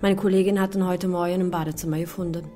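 Woman acting mellow, matter-of-fact nurse. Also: on the low side, steady tone, fast talker, soft background music, lightly acted. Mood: detached. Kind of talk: German radio drama acting.

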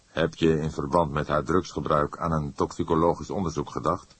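A seasoned police inspector, acted by a male reader in a crime audiobook. His voice is deep and a little rough, recorded dry. He is thoughtful, wry and measured. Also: little pitch movement, dry recording. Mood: businesslike. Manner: measured, deliberate. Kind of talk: audiobook character acting.

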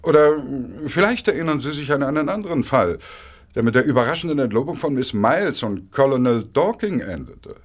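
An actor playing a suave, smug blackmailer in a radio drama, speaking with unctuous mock politeness and drawn-out hesitations. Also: male voice, mid-range voice, expressive. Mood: mock regret, smug. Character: suave, smug male blackmailer, oily and coolly menacing.

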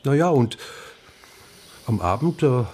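An actor playing an earnest, slightly sheepish bookseller in a radio crime drama, speaking in a hesitant, explanatory way. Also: male voice, medium pitch, big pitch swings, takes it slowly, a little mellow, slight background noise. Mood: sheepish, eager.

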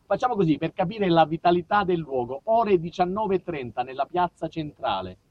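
A man's voice: a little high, big pitch swings, brisk, no echo, dull audio. A man performing a comic storytelling monologue for radio, declamatory and mock-epic, shifting between proclaiming and confiding. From southern Italy. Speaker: actor-author narrating his own monologue.

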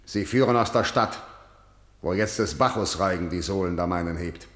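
A man's voice: low voice, moderate pace, a bit bright, reverberant. An actor playing a harsh, vengeful old king who declaims classical verse in a commanding manner for radio drama. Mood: angry, commanding. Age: older.

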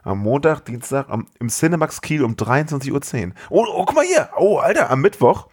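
A man's voice: a little high, very animated, fairly quick, husky. A man chatting casually as a podcast co-host, enthusiastic, full of slang and swearing. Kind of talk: casual chat.

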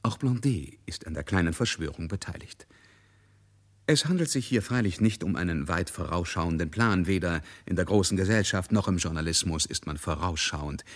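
Deep voiced guy narrating a radio play, husky, measured, dry irony. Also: animated. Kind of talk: scripted literary radio drama narration.

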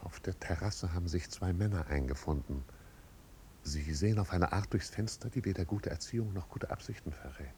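Guy as stuffy butler, deep voice, dry and ceremonious, old radio drama with faint rumbly noise. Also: fairly quick, lightly acted. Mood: discreetly alarmed. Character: stiff, formal, disapproving male butler. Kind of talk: 1950s German radio drama acting.

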